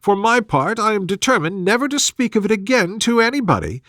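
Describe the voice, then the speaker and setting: Guy reading as a fussy middle-aged mom from a storybook, high pitched, swoopy, complaining and self-pitying. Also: very animated, a little fast, a bit bright, no echo, clean studio sound. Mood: fretful, nosy. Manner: exclamatory, complaining. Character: fretful, resentful middle-aged mother, gossipy and self-pitying.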